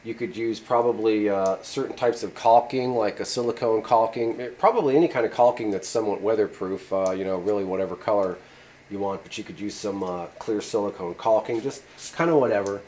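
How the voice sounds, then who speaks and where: A man's voice: fairly low pitch, a little fast, a little mellow. A man in a home workshop giving a rambling, casual how-to talk with many fillers. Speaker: channel host demonstrating a repair.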